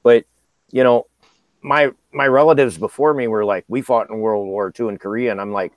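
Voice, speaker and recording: A man's voice: on the low side, steady tone, moderate pace, no room sound. A man in his fifties chatting casually and bantering on a live group show. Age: 50s. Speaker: live chat show panelist.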